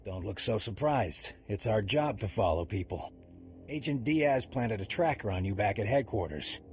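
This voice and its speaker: A confident, dry special agent played by a man with a lowish voice and wry, businesslike delivery. It is game acting over faint music. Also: expressive, moderate pace, natural voice.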